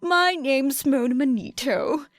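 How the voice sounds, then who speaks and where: Pompous flattering teenage boy, done by a female narrator, raised pitch, dry close sound, audiobook. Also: slow, dry recording. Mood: oily politeness. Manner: ingratiating, florid, pompous. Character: smug, flattering, falsely polite teenage boy.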